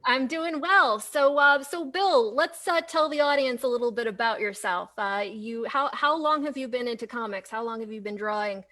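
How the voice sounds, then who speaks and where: A woman's voice: on the high side, moderate pace, few pauses, sharp tone. A woman hosting a casual livestream interview in a conversational way, often repeating and rephrasing as she talks. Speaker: host, comics editorial director.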